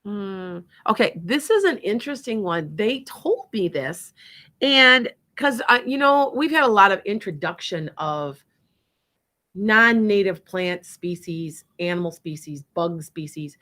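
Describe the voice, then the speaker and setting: A woman's voice: medium pitch, expressive, unhurried, pushed and bright. A woman with a Wisconsin accent hosting a psychic radio show, chatting in a rambling way and laughing often. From Wisconsin, USA. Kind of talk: casual chat and psychic readings.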